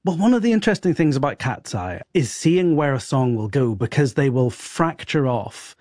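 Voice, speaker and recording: A man's voice: medium pitch, big pitch swings, moderate pace, a little mellow, no room sound, clean studio sound. Fluent, enthusiastic male music explainer. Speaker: music expert guest. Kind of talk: explanatory podcast chat.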